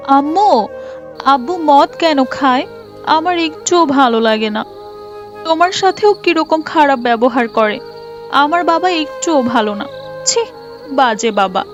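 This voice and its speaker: A woman's voice: high-pitched, lively intonation, a little slow, long pauses. A woman voicing an innocent, earnest little girl in a cartoon, pious and insistent in a childlike way. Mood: upset. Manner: childlike, insistent, asking questions of her mother. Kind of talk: Islamic moral cartoon voice acting. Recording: muffled, with music behind.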